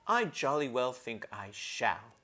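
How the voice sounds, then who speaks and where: A man's voice: medium pitch, very animated, takes it slowly, sharp tone, pitched above the performer's own voice, full character voice. A man reading aloud and voicing a sulky, haughty older girl. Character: bossy complaining older girl.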